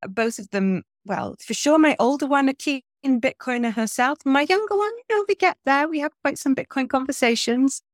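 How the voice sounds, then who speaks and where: A woman's voice: high voice, lively intonation, moderate pace, hardly any pauses, no echo, clean studio sound. A middle-aged woman from England, a guest in a podcast interview, talking in a chatty, thoughtful way with many fillers and restarts.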